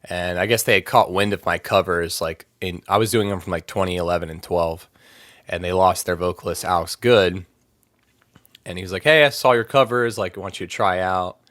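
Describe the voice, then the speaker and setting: Deep-voiced man rambling casually. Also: fairly even intonation, a little fast, fairly bright tone, no room sound, clean studio sound. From Maryland, USA. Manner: rambling, filler-heavy, laughs easily. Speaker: podcast guest, metal vocalist. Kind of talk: casual interview chat.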